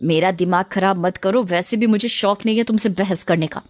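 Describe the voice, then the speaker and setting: A woman's voice: mid-range voice, normal speed, no room sound, natural voice. A woman voicing a lazy, selfish young wife in a story, dismissive, whiny and snappish. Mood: irritated.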